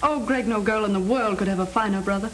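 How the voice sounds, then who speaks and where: An actress playing a sweet, playful young American younger sister in a 1930s film, on a hissy, crackly soundtrack. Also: female voice, mid-range voice, fast talker, natural voice. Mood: affectionate. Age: young adult.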